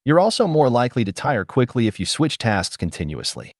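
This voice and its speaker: A man reading a self-help audiobook as scripted narration, measured and instructive, recorded close in a dry space. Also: medium pitch, very animated, dry recording.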